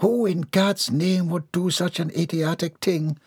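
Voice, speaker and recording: Man voicing a stern, high-pitched proper nanny. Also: lively intonation, slow pace, no room sound, clean studio sound, full character voice. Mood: exasperated. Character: stern, irritated nanny, proper and curt woman.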